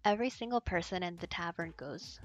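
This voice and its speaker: A woman's voice: on the low side, a little fast, faint music behind. A woman reading a visual novel aloud and chatting casually as she plays.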